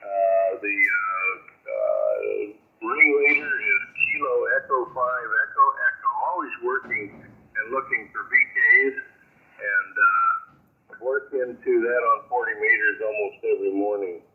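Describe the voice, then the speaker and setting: A man's voice: on the low side, huge pitch swings, a little slow. An American man in his seventies chatting casually and hesitantly as a ham radio operator over a shortwave transmission. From United States. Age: 70s. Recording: narrow-band crackly shortwave radio, static noise.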